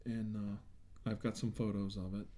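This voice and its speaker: A man doing an improvised, soft-spoken ASMR role-play as a doctor, talking in a reassuring, halting way. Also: fairly low pitch, little pitch movement. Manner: reassuring, hesitant, halting delivery. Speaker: ASMR role-player acting as a government doctor.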